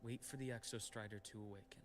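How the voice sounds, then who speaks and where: A man voices a composed, caring doctor in a fairly low voice. He speaks in a measured, reassuring way, with game music behind him. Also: steady tone, close to an everyday voice. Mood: calm. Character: calm adult male doctor, caring, composed. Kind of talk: game voice acting.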